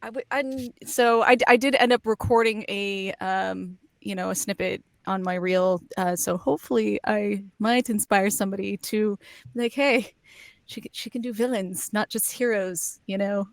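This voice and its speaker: A woman's voice: mid-range voice, fairly even intonation, normal speed, vocal fry, dry recording. A woman chatting casually as a voice actor guest on a panel.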